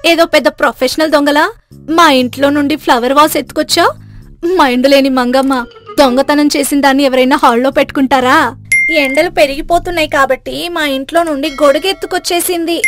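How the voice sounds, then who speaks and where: An indignant neighbour woman from a cartoon tale, acted out by a woman. She scolds in a very high voice, with quiet music behind. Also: brisk. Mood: accusing. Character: indignant, scolding neighbour women confronting a thief.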